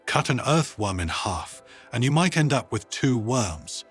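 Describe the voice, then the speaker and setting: A man's voice: mid-range voice, big pitch swings, unhurried, fairly bright tone, breathy edge, soft background music, exaggerated voice. A man voicing an enthusiastic woman scientist cheerfully explaining things in a narrated sci-fi story. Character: enthusiastic female scientist presenter.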